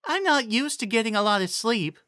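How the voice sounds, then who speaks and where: A man voicing an earnest young female pegasus pony, with slow, drawn-out, simple phrasing. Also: very high voice, sing-song, sharp tone, no echo, clean studio sound, voice pushed up, full character voice. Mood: earnest, tired.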